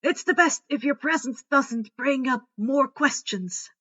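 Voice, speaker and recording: A woman's voice: sits high, unhurried, fairly bright tone, dull audio. A woman voicing a kindly, cheerful old male wizard headmaster who gives orders emphatically in a storybook reading. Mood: firm, reassuring.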